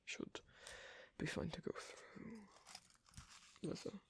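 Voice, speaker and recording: A performer voicing an asocial werewolf in an ASMR roleplay, hesitant and gently instructive, in a whispery, breathy, creaky voice. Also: drawn-out words. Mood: patient, explaining. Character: asocial werewolf on a first date, patiently teaching sewing.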